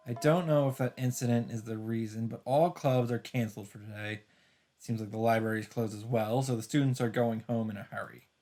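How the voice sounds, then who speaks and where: A man's voice: medium pitch, a little mellow. Man reading a game story over music. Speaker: let's play host. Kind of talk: reading visual novel narration aloud, with asides.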